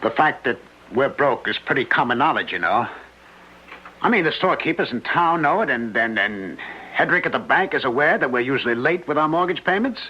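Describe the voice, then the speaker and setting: An actor playing an anxious, cautious husband who stammers and hesitates in a radio mystery drama. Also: male voice, medium pitch, animated, fairly quick, bright, forceful tone. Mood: defensive, stalling. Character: anxious, cautious husband, a failed painter hiding guilt. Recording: dull lo-fi radio recording with hiss.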